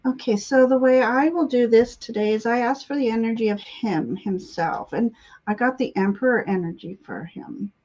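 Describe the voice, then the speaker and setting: A woman giving intuitive readings on a live stream, congested and halting, often clearing her throat. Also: fairly high pitch, darkish tone. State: congested sinuses, voice slightly giving out. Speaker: guest psychic tarot reader. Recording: dull, lo-fi stream audio.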